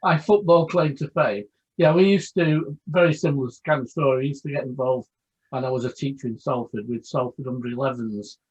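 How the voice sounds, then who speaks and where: A man's voice: medium pitch, breathy edge. A man co-hosting a video chat show, a former teacher who tells anecdotes in a wry way. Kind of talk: casual video chat storytelling. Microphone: video call. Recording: dull lo-fi video call audio.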